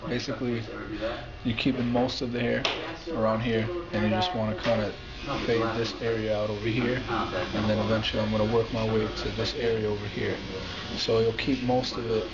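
A man's voice: on the low side, level tone, normal speed. A man, a barber, casually explaining his work. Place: inside a barber shop. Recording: dull sound, buzzing clippers.

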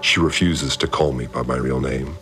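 An actor playing a calm, cryptic male cult priest, narrating his log in a measured, solemn way. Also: a bass voice. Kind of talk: scripted audio drama narration. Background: soft music.

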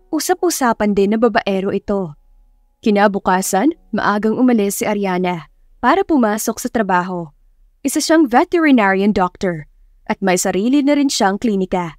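A woman's voice: mid-range voice, darkish tone, no echo, clean studio sound. A woman reading a scripted romance story as an audiobook narrator.

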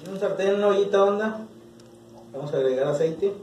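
A man in his thirties with a Mexican accent, hosting a casual cooking tutorial in a small home kitchen. He talks straight to the camera, relaxed, while he chops and stirs. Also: sits high, brisk, faint music behind. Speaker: cooking channel host. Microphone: camera mic, medium distance. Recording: echoey kitchen with faint noise.

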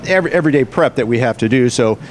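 Middle-pitched American man, background noise. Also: animated, racing. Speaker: cafe owner being interviewed. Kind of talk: casual explanatory interview talk. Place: indoors in a cafe, behind the counter. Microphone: interviewer's handheld microphone. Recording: clear background noise on location.

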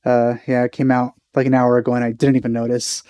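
Man in his thirties, casual reaction host, low-key, mellow tone, dry close sound, faint music. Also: monotone, quick pace, clear, resonant tone, dry recording.

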